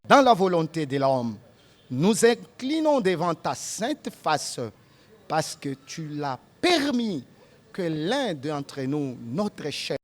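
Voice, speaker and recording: A man with a Congolese accent leading a solemn prayer at a memorial gathering, speaking in measured phrases; his first language is Tshiluba. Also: on the high side. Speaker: master of ceremonies leading prayer.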